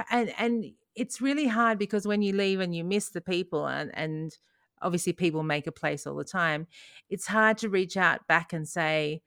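Low-voiced woman, rambling chat. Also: moderate pace, fairly bright tone, clear, resonant tone, no room sound, clean studio sound. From Australia. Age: middle-aged. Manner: rambling, frequent restarts and laughs. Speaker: podcast co-host. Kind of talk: casual chat.

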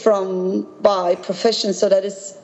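A woman from Belgium, a native Dutch speaker, recounting her experiences as an interview guest in a measured, earnest way. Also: medium pitch, flat delivery, a little mellow. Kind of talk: personal recollection in an interview. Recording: dull sound with long reverb.

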